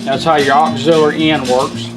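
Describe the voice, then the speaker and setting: Guy doing a folksy product demo, voice a bit high, music in the background. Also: little pitch movement, normal speed, rough recording. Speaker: car radio builder giving a product demonstration. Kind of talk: informal hands-on product demonstration. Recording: music playing behind the voice.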